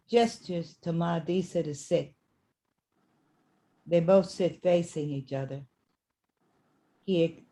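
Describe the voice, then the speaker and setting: A woman narrates stage directions from a script. Her voice is fairly low and soft-edged, with a very slow pace and a plain, matter-of-fact delivery. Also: rough recording.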